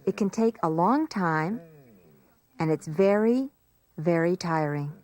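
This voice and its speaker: A woman's voice: lowish voice, animated, slow, background noise. A woman narrating a scripted educational story for young children in a simple, explanatory way.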